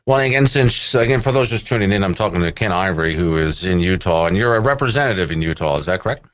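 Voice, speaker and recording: A man's voice: low-pitched, lively intonation, fast, dull audio. A man hosting a call-in radio talk show, chatting conversationally with wry, joking asides. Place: radio studio.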